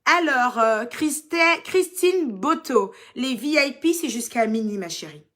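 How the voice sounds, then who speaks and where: A woman's voice: fairly high pitch, expressive, a little slow, fairly bright tone. Chatty Ivorian woman answering fans. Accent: Ivorian French. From Côte d'Ivoire. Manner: chatty and teasing, calls fans "ma chérie". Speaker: social media personality answering fan questions. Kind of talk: casual live Q&A reading viewer comments.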